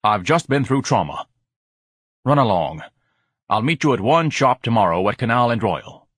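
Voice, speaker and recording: A man voicing a pompous, melodramatic man who splutters with grandiose indignation, in a husky audiobook character voice. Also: medium pitch, big pitch swings, moderate pace, fairly bright tone, no echo, studio quality. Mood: weary, haughty. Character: pompous, hysterically outraged man ranting at the world.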